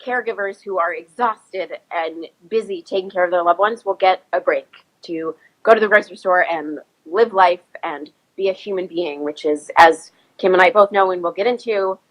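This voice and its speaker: A woman's voice: on the low side, moderate pace, low-quality recording. A woman in her thirties hosting a casual live-stream interview over a video call, slightly sniffly with a cold and chatty with many ums and restarts. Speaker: host of an Instagram live chat. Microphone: phone or laptop, video call.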